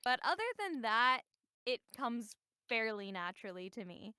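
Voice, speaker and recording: Bright, high-voiced woman. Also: lively intonation, moderate pace, clean tone, no room sound, clean studio sound. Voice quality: naturally high voice. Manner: thoughtful, explaining. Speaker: voice actor on a panel.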